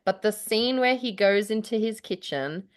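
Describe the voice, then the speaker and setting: A woman in a casual chat between writers, telling stories in an effusive, animated way with the odd casual swear word. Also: mid-range voice, moderate pace.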